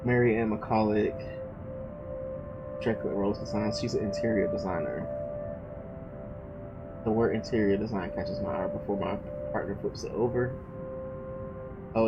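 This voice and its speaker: Low-voiced man reading over loud music. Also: steady tone, normal speed, pauses often, poor audio. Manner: informal, slangy asides between read passages. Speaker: let's play host. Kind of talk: reading visual novel narration aloud with casual asides. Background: loud game music.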